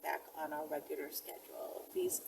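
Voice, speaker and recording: A woman's voice: low voice, wildly swooping pitch, normal speed, vocal fry. A woman giving casual instructional talk to camera as a self-defense presenter, speaking conversationally and directly to her viewers. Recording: distant mic, faint background noise.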